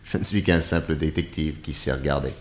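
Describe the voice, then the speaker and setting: An actor playing a shrewd, calm male detective in a radio drama, speaking in a low, measured way. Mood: calm, probing.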